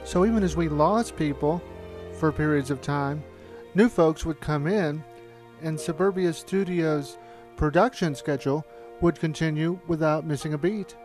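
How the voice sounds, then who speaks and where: Man, reflective storytelling, fairly high voice, documentary narration, music underneath. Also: unhurried. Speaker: filmmaker looking back on studio's history.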